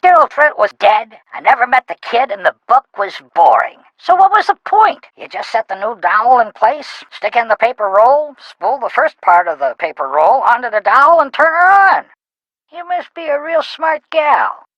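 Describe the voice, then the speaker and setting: A woman playing a gruff, folksy carousel operator in a video game, talking in blunt slang. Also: on the high side, sing-song, normal speed, a bit bright, no room sound, lo-fi sound. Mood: folksy, casual. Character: gruff, folksy, brusque middle-aged woman carousel operator who loves fishing.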